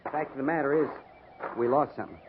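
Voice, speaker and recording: An actor playing a dutiful, plain-spoken American army sergeant in a 1950s radio western, folksy and deferential in a military way. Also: male voice, medium pitch, fast, natural voice. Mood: brisk, dutiful. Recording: muffled, noisy 1950s radio recording.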